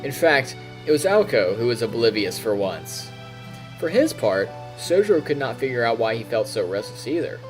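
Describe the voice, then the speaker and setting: A man narrates a visual novel in an animated voice that moves up and down freely. He reads like a storyteller on a stream and drops in casual asides. Music plays behind him. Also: medium pitch, moderate pace.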